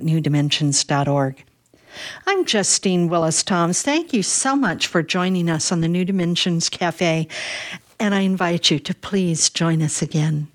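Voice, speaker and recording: A woman hosting a radio interview, speaking in a soft, gentle, measured way. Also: on the low side.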